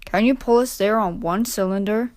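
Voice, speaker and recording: A woman voicing a calm, encouraging male railway controller in a children's storybook, reassuring and matter-of-fact. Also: medium pitch, normal speed, no room sound, natural voice.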